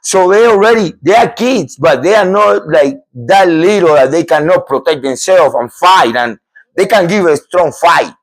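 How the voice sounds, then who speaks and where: A middle-aged man from Cuba, hosting a podcast and telling a true story in an animated, emphatic way with many repeats and restarts. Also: on the high side, normal speed, low-quality recording. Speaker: podcast host. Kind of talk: storytelling a true scary encounter.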